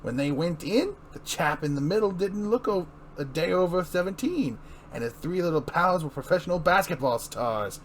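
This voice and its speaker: A man's voice: a little high, expressive, moderate pace, a little hiss or hum, lightly acted. A man voicing a mocking, cheerfully cruel villain clown with sardonic, theatrical delivery in a comic-book reading.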